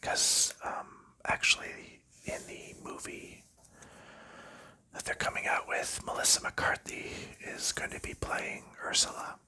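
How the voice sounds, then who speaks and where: Whispering man, crisp and bright. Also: slow. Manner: soft rambling chatter with frequent ums. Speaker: ASMR channel host. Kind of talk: whispered ASMR board game unboxing.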